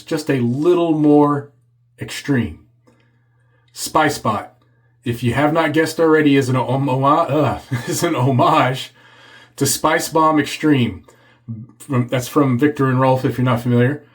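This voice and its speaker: A man's voice: medium pitch, measured pace. Congested man reviewing shave soap. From Texas. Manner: chatty, reads product blurb aloud. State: congested and hoarse. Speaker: shaving channel host. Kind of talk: casual video review. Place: home office.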